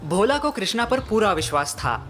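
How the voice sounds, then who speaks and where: A woman's voice: medium pitch, big pitch swings, moderate pace, soft background music. A woman giving scripted narration of a children's moral story.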